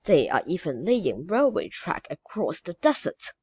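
A woman reading aloud, voicing a bossy male stagecoach driver who shouts his warnings. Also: fairly low pitch, very animated, measured pace, slightly rough, dry recording, muffled recording, exaggerated voice. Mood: brisk.

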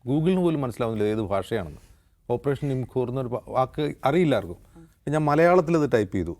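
A man with a Kerala accent talks through current affairs on a discussion show. His delivery is fast and detailed, in an explanatory style at a medium pitch. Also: sing-song, a little mellow. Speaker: news anchor and panelist on a news discussion show.

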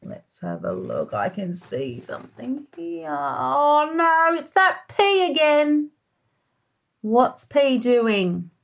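An Australian-accented woman, a preschool teacher in her thirties, reading a picture book aloud expressively with questions and deliberate emphasis. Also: high-pitched, huge pitch swings, gravelly. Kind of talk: picture-book read-aloud for young children. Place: small room indoors by a window.

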